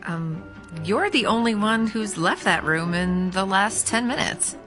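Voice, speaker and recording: A woman's voice: medium pitch, unhurried, a bit bright, soft background music, lightly acted. A woman acting in a radio drama as a helpful, polite and matter-of-fact clinic receptionist. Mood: polite, puzzled. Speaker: actor.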